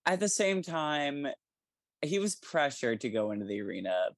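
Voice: medium pitch, big pitch swings, normal speed, no room sound. Casual, emphatic podcast co-host, dry close sound. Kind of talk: casual book discussion.

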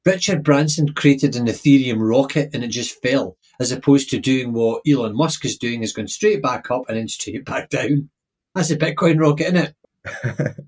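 Husky male podcast co-host, emphatic and opinionated, casual chat. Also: mid-range voice, moderate pace, a little mellow. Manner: opinionated, emphatic, repeats words when starting.

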